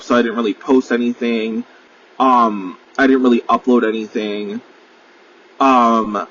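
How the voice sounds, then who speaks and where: A man's voice: medium pitch, a bit bright. New guy in his 20s rambling on his podcast, lots of ums and swearing, kinda muffled mic w a little hiss. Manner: rambling, full of fillers, swears freely, self-deprecating. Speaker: podcast host. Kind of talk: casual solo monologue.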